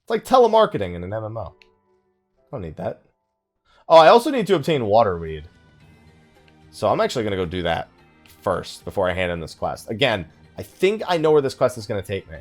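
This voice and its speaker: A man giving casual let's play commentary, rambling and thinking out loud with mild exasperation. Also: fairly low pitch, very animated, a little fast, long pauses. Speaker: gaming video host. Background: game music.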